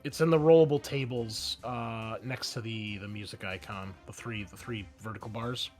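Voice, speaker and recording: A man running a tabletop roleplaying game as game master, narrating the action in a conversational, halting way. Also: lowish voice, steady tone. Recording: faint music behind the voice. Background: faint music.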